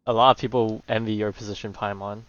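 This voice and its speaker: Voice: mid-range voice, steady tone, quick pace, no echo. Gamer chatting over a playthrough, slangy, dry close mic, kinda muffled. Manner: casual, slangy reactions. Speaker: gaming video creator. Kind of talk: casual playthrough commentary.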